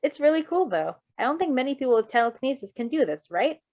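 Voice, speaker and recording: A woman's voice: sits high, big pitch swings, quick pace, pitched above the performer's own voice. Skittish, apologetic young guy, voiced by a woman, muffled audio. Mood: shyly impressed. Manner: hesitant, informal, self-effacing. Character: skittish, awkward young male superpowered runaway, apologetic and shyly curious. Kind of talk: amateur fan fiction reading.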